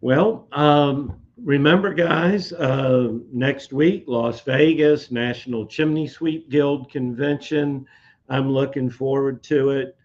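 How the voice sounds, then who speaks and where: A man talking slowly and haltingly, with many fillers, answering viewer comments on a live webcast, his voice slightly hoarse. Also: mid-range voice, steady tone, dull audio. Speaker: code expert on a live industry webcast.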